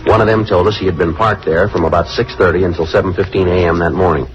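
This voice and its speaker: An actor playing a terse, skeptical police detective in a 1950s radio drama, deadpan and clipped. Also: male voice, low-pitched, quick pace, natural voice. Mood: matter-of-fact. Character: dry, skeptical male police detective, narrating and interrogating. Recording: dull old radio recording with music.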